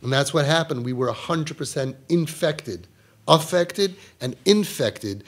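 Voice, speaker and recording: Animated man teaching a Torah class. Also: on the high side, a little slow. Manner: quotes Hebrew texts then explains in English, rising emphatic questions. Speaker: rabbi giving a Torah class. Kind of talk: animated religious lecture.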